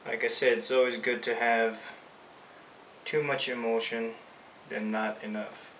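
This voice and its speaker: Echoey, bright-voiced Filipino-accented man. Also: mid-range voice, level tone, measured pace. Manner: casual, unscripted, talks through each step. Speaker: screen printing instructor. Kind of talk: informal hands-on tutorial. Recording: echoey room, distant mic, faint noise.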